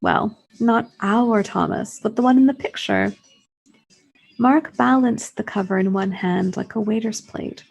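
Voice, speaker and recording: Female story reader, dark husky tone, literary first-person narration. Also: on the high side, measured pace, poor audio.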